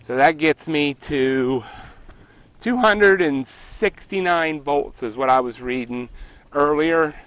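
A man's voice: fairly high pitch, fairly bright tone, smooth. A middle-aged man from Pennsylvania walking through his solar array outdoors, talking in a plainspoken, rambling way and correcting himself as he goes. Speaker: homestead solar vlogger. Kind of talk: casual walkthrough vlog. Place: outdoors by a ground-mounted solar array in a rural field.

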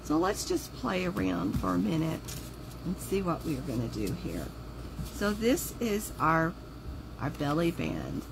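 A woman talks through a craft project in loose, chatty asides, her voice pulled low and rough by a sore throat. A steady hum runs underneath, as if the recording were made in a noisy room at her craft table. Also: a little slow. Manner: chatty, thinks aloud while working. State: sore throat, voice lower than usual. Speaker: craft video host. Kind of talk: casual crafting livestream chat. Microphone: overhead camera on the table, hands only in frame.

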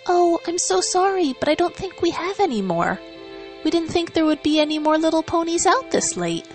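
A kindly young pony princess, acted by a woman, with a high voice. She speaks with gentle, apologetic concern in a storybook reading, music underneath. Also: sing-song, moderate pace.

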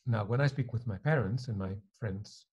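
A middle-aged man from Greece, a native Greek speaker, giving reflective interview answers as a professor with a slightly husky voice. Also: fairly low pitch, normal speed, a little mellow. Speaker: university professor being interviewed. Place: attic living room at home.

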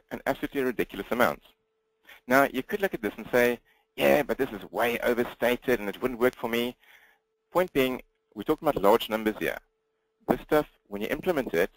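A South African man presents to an online audience at a rapid clip. His words run together slightly and he often restarts a phrase. The sound is dry and close, with no room around it. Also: mid-range voice, no room sound, poor audio. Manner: fast, slightly mumbled delivery with frequent restarts. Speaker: guest presenter, business coach. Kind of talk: webinar presentation.

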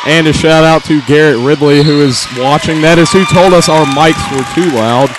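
Male sidekick commentator, slightly high voice, casual short remarks over a noisy basketball crowd. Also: normal speed. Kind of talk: casual live sports commentary. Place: large indoor school gymnasium during a game. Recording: noisy gym crowd and bouncing ball. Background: crowd noise, basketball bounces.

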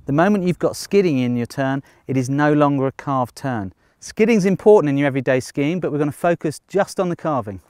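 A man in his thirties teaches skiing out on a snowy slope, his voice sitting a little high. He explains plainly and upbeat, with the odd dry joke, into a clip-on mic. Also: a little mellow, no echo. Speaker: ski instructor. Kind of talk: on-slope ski lesson. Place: outdoors on a snowy ski slope.

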